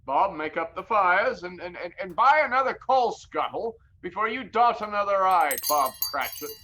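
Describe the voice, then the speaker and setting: An actor playing an old miser boss in a theatrical audio drama, blustering and exclamatory, swinging between mock sternness and giddy joviality. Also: male voice, sits high, moderate pace. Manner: blustering, exclamatory, repeats words excitedly.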